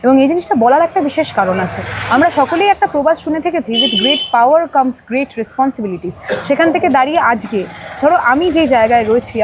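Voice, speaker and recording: A woman with a high voice and a Bengali accent speaks as a politician in an interview. She is emphatic and builds long sentences that circle back and repeat key phrases. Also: background noise. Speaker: political party leader, interview guest.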